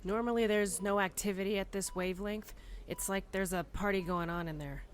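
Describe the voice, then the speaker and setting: A woman voicing a calm, measured hospital doctor. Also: mid-range voice, soft background music, close to an everyday voice. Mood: clinical. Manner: measured, clinical.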